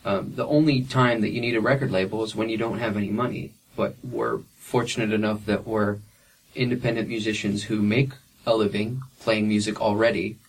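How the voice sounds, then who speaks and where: A man's voice: lowish voice, steady tone, a little fast, distant mic, low-quality recording. A young man and independent musician answering interview questions frankly, with plenty of fillers and restarts.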